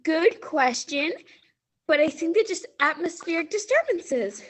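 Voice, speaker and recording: A woman's voice: very high-pitched, lively intonation, unhurried, low-quality recording. A young female student actor playing a veteran astronomer in a stage radio play, fumbling her lines. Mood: breezy. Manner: flustered, losing her lines, giggly.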